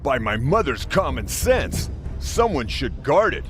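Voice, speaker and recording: An actor playing a hearty, blustering warrior who loves a fight, in a fantasy video game. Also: male voice, mid-range voice, sing-song, unhurried, music in the background. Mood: boisterous. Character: boisterous male warrior with comic oaths, loves fighting.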